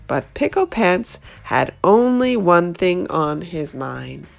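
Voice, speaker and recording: A woman reading a children's picture book aloud in an expressive, animated storytelling style. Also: on the low side, slow pace, creaky, faint music behind.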